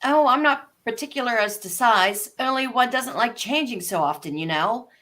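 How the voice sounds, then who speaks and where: A woman's voice: a little high, animated, normal speed, bright, forceful tone. A woman voicing a polite, bewildered but plucky little girl in a storybook live read, earnest and prim. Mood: polite, confused. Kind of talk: live reading of an abridged classic story.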